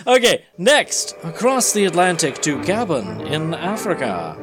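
A man with a slightly high, animated voice narrates a comic book and jokes casually as co-host. He is wry and conversational, with prominent music behind him. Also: measured pace. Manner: wry, joking.

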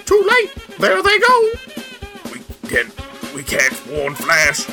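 A panicky navy patrol sailor, put on by a man in a comic-book reading. The voice is pushed high and swoops urgently, with music behind. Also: wildly swooping pitch, slow pace, bright, forceful tone, exaggerated voice. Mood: urgent, panicked.

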